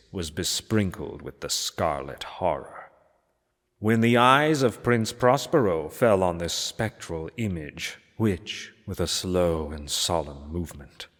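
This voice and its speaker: A man reading a gothic story aloud as narrator, in a solemn, measured and theatrical manner. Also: sits low. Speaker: narrator, reader. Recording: long hall-like reverb.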